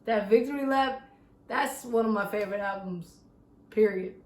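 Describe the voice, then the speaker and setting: A woman with a medium-pitched voice hosts a casual interview and talks in a relaxed, slangy way. The room is echoey. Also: moderate pace. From San Bernardino, California. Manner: relaxed, slangy, laid-back. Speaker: interviewer host.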